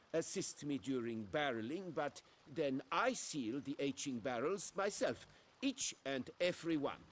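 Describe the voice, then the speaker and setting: Pompous steward, very animated pitch. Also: male voice, slight background noise. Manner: formal, pompous, deferential. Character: fussy, proud male winery steward, obsequious to royalty. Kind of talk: video game voice acting.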